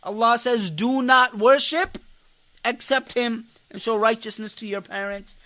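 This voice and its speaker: A man's voice: very high voice, unhurried, pushed and bright, low-quality recording. A man teaching a religious class as an imam, lecturing with emphasis, repeating key phrases and putting rhetorical questions to his listeners. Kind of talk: impassioned religious lecture to a congregation.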